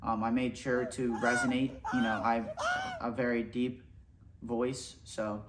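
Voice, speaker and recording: A young man hosting a mock survival show outdoors, talking in a deadpan, boastful, rambling way. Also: medium pitch, a little slow, background noise. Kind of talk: deadpan comedic parody narration. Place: outdoors in nature.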